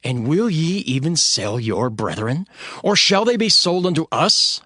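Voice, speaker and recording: A man playing an earnest, stern governor, narrating his own account in a solemn, measured dramatized scripture reading. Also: on the high side, sing-song, pushed and bright, no room sound, clean studio sound, heavily acted. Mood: stern rebuke. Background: background music.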